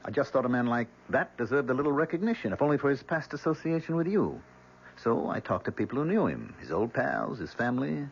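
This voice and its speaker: An actor playing an embittered, politely menacing man in an old-time radio drama, deliberate, courteous and sardonic. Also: mid-range voice, sing-song, vocal fry, slight background noise. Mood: sardonic, accusing. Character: embittered younger man, coolly accusing, politely menacing visitor. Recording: dull, humming old radio broadcast.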